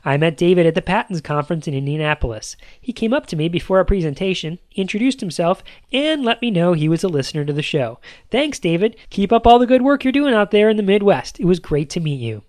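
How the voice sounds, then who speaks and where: A man's voice: high voice, lively intonation, fast, darkish tone, no room sound. Upbeat male podcast host, dry close mic. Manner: upbeat, promotional. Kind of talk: scripted podcast narration.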